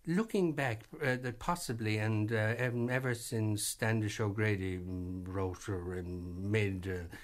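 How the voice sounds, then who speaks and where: A man, speaking hesitantly as an interview guest in a vintage radio program. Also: on the low side. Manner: halting, many fillers and restarts. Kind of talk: reflective interview talk.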